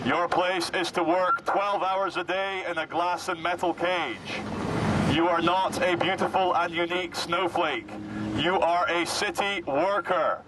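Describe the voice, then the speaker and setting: A man speaks through a megaphone on an outdoor city street with clear traffic noise around him. His voice is pitched high for a man. He issues commanding, mock-official orders to passers-by, deliberate and deadpan. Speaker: street performer activist. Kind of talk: satirical megaphone address to passers-by.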